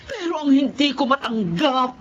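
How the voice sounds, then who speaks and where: A woman's voice: sits high, animated, normal speed, crowd noise. An actress with a Filipino accent playing a wronged, indignant, devout mother in a melodramatic radio drama. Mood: hurt fury. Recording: dull lo-fi audio, music behind.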